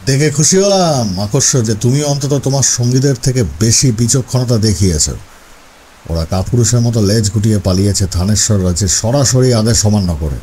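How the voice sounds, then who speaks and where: An actor playing a stern, commanding leader of horsemen in a horror audio story, speaking with measured authority. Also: male voice, medium pitch, animated, mellow, a little hiss or hum, lightly acted. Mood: stern approval.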